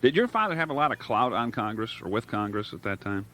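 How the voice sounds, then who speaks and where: A man's voice: on the low side, sing-song, quick pace, sharp tone, slight background noise. A man hosting a live call-in radio show, talking in a rambling way and searching for words as he goes. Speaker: radio talk show host.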